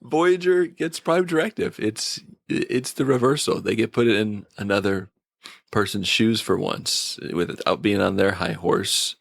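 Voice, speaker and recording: A man's voice: on the low side, a little slow, vocal fry, a bit bright, dry recording, studio quality. A man giving a casual, conversational review as a podcast reviewer. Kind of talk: casual episode review chat.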